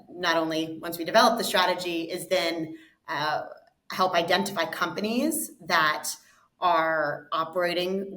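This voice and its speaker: A woman with an American accent, a fund co-founder answering interview questions in a measured, explanatory way, often repeating words as she goes. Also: fairly low pitch, fairly bright tone.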